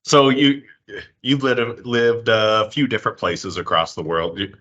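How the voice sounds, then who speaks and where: A man's voice: lowish voice, fairly even intonation, a little slow, fairly bright tone. A man hosting a casual interview, asking loose, friendly, rambling questions. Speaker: host. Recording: slightly dull lo-fi audio.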